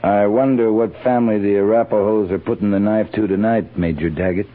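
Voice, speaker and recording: A man's voice: lowish voice, fairly even intonation, brisk, narrow, tinny audio, slight background noise, close to an everyday voice. An American man, middle-aged, playing a seasoned, stubborn cavalry captain with clipped, dry command, in 1950s radio western style. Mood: insistent. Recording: thin band-limited old radio recording.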